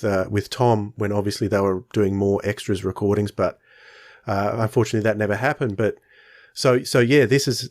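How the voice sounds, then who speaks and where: A man's voice: medium pitch, darkish tone, dry recording, studio quality. A man hosting a casual discussion podcast in a conversational way. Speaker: podcast host.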